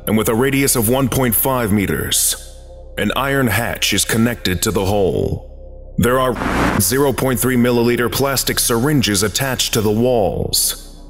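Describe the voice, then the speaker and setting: A man narrating a scripted archive briefing in a formal, measured, clinical manner. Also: mid-range voice. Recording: long reverb, music behind. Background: music.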